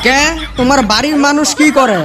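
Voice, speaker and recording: Squeaky-high boastful cartoon tuition master. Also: male voice, brisk. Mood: alarmed, evasive. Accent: northern Bengali. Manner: boastful, haggling. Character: boastful, stern young male tuition master, easily rattled. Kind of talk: comic cartoon dialogue. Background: music.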